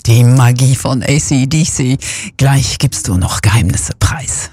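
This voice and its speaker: A man's voice: mid-range voice, lively intonation, unhurried, a little mellow, slightly rough, no echo. A male radio host runs an interview with short, encouraging prompts. His voice sounds close and dry, with no room around it.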